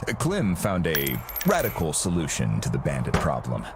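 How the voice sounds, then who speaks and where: A man playing a smooth, self-satisfied arms-dealer boss with grand ambitions, speaking in a theatrical, businesslike way in a game cutscene. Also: rumbling low, huge pitch swings, normal speed, noisy background, exaggerated voice. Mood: grandiose. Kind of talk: video game cutscene acting.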